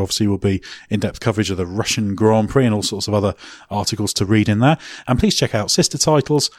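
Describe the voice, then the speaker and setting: Male podcast host, low voice, dry close mic, wry and loose. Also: no echo. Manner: dry, joking, many "uh" fillers. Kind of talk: casual podcast chat and sign-off.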